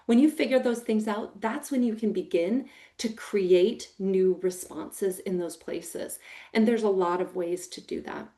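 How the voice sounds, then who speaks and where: Big-voiced American woman, mellow tone. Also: mid-range voice, measured pace. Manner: expressive, emphatic, warm-hearted teaching with a big voice. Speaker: program manager giving a training talk. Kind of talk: recorded training talk to foster parents.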